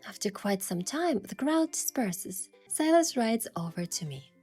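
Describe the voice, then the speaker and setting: A woman's voice: mid-range voice, big pitch swings, a little slow, a little mellow, breathy edge. A woman playing a thoughtful, affectionate young heroine, narrating a romance game story in the first person with a reflective manner. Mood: narrating, earnest. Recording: music behind the voice.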